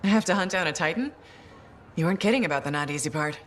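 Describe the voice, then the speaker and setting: A woman's voice: lowish voice, expressive, quick pace, slight background noise, close to an everyday voice. An actress playing a capable female mercenary pilot in a video game, wry and dryly skeptical. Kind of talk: video game cutscene acting. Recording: faint background music.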